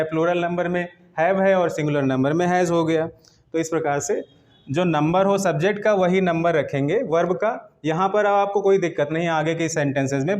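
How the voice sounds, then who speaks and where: A man's voice: fairly high pitch, darkish tone. A man teaching an English grammar lesson, explaining step by step and repeating key points in a didactic way.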